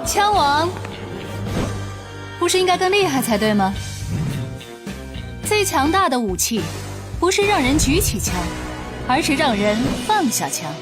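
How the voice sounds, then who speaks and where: A woman's voice: high voice, very animated, unhurried, pauses often. A woman speaking scripted, dramatic lines. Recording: music under the voice.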